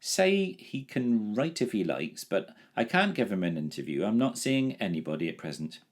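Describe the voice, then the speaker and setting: A man's voice: fairly low pitch, sing-song, moderate pace, voice pushed up. A man voicing a timid, lazy male dragon in a storybook reading, with a drawling, plaintive manner.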